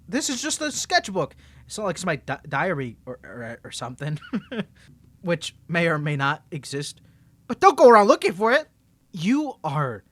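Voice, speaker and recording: A man's voice: a little high, a bit bright, no echo, full character voice. A man voice acting an ASMR roleplay as a cocky young superhero with a secret identity, stammering and teasing as he swings between confidence and nerves. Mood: nervous, playful.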